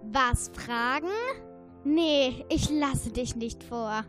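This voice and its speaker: A woman's voice: fairly high pitch, drawn-out words, fairly bright tone, soft background music, pitched above the performer's own voice. A woman voicing a bossy, grumpy animal in a children's story, snapping at others. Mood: snappish.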